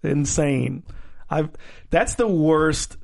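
A man with a slightly high voice, co-hosting a casual podcast about television. He is playful and joking, and readily agrees with the others as he talks. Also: drawn-out words, vocal fry, darkish tone. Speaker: podcast co-host. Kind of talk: casual chat about a TV show.

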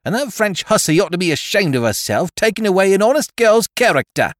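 A man voicing a sharp-tongued young chambermaid who scolds in common speech. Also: high-pitched, sing-song, brisk, dry recording, clean studio sound, voice pushed up. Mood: indignant.

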